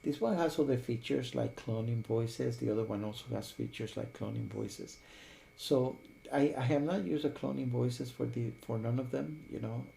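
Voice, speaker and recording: Male reviewer, casual and rambling, creaky mellow voice over faint hum. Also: medium pitch, normal speed. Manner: rambling, repeatedly checks with "okay?" and "you know". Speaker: software reviewer. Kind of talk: casual unscripted review talk.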